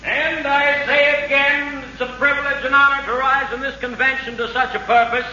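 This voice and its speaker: An actor playing a bombastic convention orator in old radio drama, declaiming in slow, drawn-out phrases. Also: male voice, very high-pitched, little pitch movement, pushed and bright, faint music behind, exaggerated voice. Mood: rousing. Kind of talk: 1930s radio acting, political oratory. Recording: echoey, muffled old radio recording.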